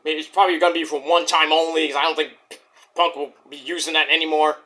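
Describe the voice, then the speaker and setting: High, bright-voiced man with a sore throat. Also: fast. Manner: rambling, profane, excitable bursts. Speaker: wrestling show reviewer. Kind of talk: casual spoken review.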